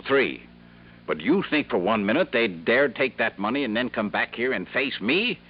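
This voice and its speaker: An actor playing a stingy, self-important company boss who answers curtly and indignantly. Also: male voice, mid-range voice, lively intonation, moderate pace, close to an everyday voice. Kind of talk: 1950s radio drama acting. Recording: dull old radio broadcast with faint hiss.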